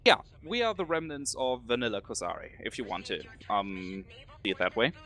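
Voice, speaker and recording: A man from Germany with a German accent, answering interview questions hesitantly with many pauses and ums. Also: mid-range voice, animated, fairly bright tone. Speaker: guest, faction leader.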